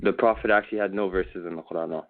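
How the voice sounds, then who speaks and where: A man's voice: low-pitched, steady tone, quick pace. A young man calling in to a debate, hesitant and defensive, stumbling over restarts as he argues. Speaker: caller. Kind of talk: defensive call-in argument. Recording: tinny phone-like call line.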